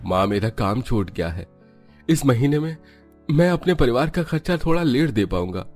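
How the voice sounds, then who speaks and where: A man's voice: mid-range voice, sing-song, normal speed, a little mellow, soft background music. A man voicing a troubled, humble poor husband in a cartoon family drama, apologetic and subdued.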